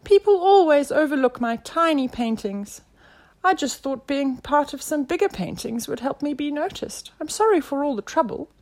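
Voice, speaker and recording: A woman voicing a tiny, squeaky male caterpillar artist, wistful and apologetic, in a children's story. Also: expressive, normal speed, darkish tone.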